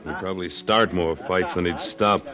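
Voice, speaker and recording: An actor playing a tough, independent cattleman in a radio western, laconic and deadpan. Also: sits low, normal speed, natural voice. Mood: dry, firm. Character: tough, dry, independent cattleman of the Old West. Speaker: lead actor and narrator. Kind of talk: 1950s radio western acting. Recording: vintage radio drama recording.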